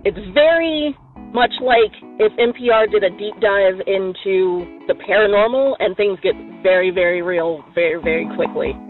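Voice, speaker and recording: A woman's voice: medium pitch, moderate pace. A woman calling in as a listener, leaving a casual recommendation over the phone. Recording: tinny phone line, music underneath.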